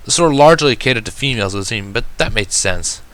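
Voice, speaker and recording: Bright-voiced man reading a story. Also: mid-range voice, lively intonation, fast talker, clean tone. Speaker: fan fiction reader. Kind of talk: expressive first-person story narration.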